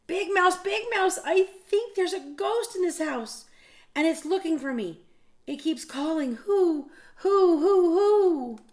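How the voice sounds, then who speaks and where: A woman's voice: high voice, moderate pace, a little mellow, voice pushed up, lightly acted. A woman voicing a small, timid young male mouse who pleads and frightens easily. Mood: frightened.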